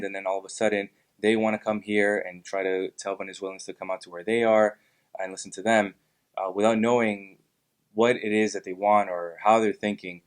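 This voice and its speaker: Young guy from miami, lowish voice, careful answers full of ums. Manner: measured, many ums and uhs. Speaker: guest, political club officer. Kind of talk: interview answers.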